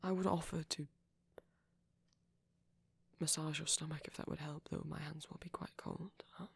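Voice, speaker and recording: A performer playing a shy, caring vampire boyfriend in an intimate ASMR roleplay, speaking softly and breathily with hesitant pauses. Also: mid-range voice, steady tone, no echo. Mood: tender, hesitant. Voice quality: breathy, often near whisper. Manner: soft-spoken, breathy, halting with gentle pauses.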